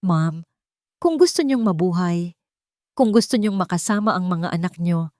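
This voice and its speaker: A woman's voice: fairly low pitch, lively intonation, moderate pace, mellow, no room sound, clean studio sound, lightly acted. A woman voicing a timid maidservant who speaks with deferential urgency in a dramatic story. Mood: urgent. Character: timid, urgent young maidservant warning her mistress.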